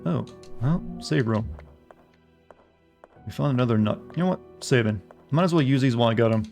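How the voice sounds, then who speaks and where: A man streaming a game, chatting wryly with joking asides, his voice a bit raspy. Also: medium pitch, lively intonation, a little fast, darkish tone. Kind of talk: live gameplay commentary. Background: faint game music.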